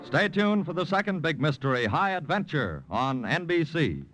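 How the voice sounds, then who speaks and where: American radio announcer guy, old-time style, slightly high voice, formal, music behind. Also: big pitch swings, moderate pace, a bit bright, no echo. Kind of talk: 1950 radio credits reading.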